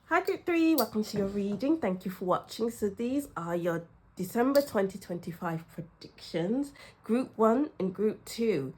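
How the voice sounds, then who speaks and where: A woman's voice: medium pitch, animated, moderate pace, dark tone, slightly rough, poor audio. A young woman with a cold, chatting casually and laughing often as she gives a tarot reading. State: has a cold, sniffly. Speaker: tarot reader.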